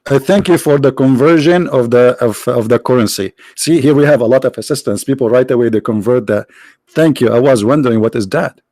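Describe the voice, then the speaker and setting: A man whose first language is Arabic hosting a live stream, talking sarcastically, halting often on fillers and now and then breaking into mock singing. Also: medium pitch, mellow, dry recording. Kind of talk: mocking religious debate stream.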